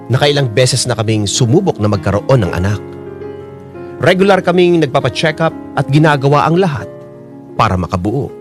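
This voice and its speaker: An earnest Filipino husband played by a man tells his story as radio drama narration. His mid-pitched voice moves expressively and sentimentally over clear background music. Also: close to an everyday voice. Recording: music bed under the voice.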